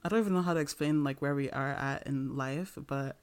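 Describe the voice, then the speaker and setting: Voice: medium pitch, fairly quick, clean tone. A young podcast co-host from Nigeria, still in school, asking questions in a friendly way and searching for words. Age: young adult. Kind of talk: casual interview chat.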